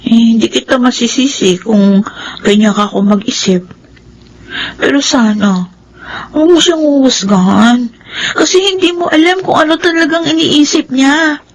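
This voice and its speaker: A woman acting a gentle, trusting young woman in a Filipino radio drama, with measured, pleading phrasing. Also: high voice, slight background noise, poor audio. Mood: defensive, pleading. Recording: radio drama with background music.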